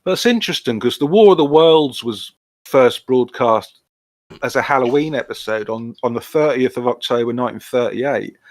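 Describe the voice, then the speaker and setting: A man's voice: mid-range voice, expressive, moderate pace, no room sound. Casual man in a dry recording. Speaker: podcast co-host. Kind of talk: casual chat.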